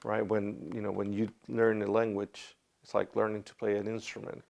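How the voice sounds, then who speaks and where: A man with a low, gravelly voice explains his argument in an interview. He talks in a measured way and lays out his reasoning step by step. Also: completely flat delivery, darkish tone. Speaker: interview guest, expert.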